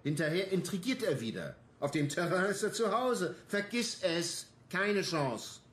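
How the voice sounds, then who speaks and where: Blunt, animated police detective. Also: male voice, high-pitched, measured pace, exaggerated voice. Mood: combative, defiant. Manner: blunt, coarse, colloquial. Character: gruff, combative, embittered male police detective. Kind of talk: German radio crime drama acting. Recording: roomy sound with faint noise.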